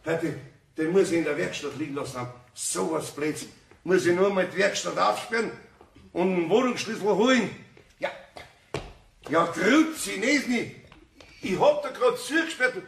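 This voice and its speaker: A man's voice: high voice, moderate pace, creaky, long pauses, slight background noise. An actor playing an exasperated master craftsman in a radio play, with a Bavarian accent, stammering and repeating himself in agitation. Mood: flustered, muttering. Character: flustered, exasperated male craftsman, master wood turner. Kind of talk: German radio play acting.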